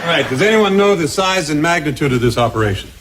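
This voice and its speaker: Actor as a dry sitcom history teacher, man, firm and wry, mid-pitched voice, audience laughs, hissy TV sound. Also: animated, quick pace, crowd noise, lightly acted. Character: dry, patient high school history teacher, adult man. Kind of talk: 1980s sitcom acting. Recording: noisy TV audio, studio audience laughter.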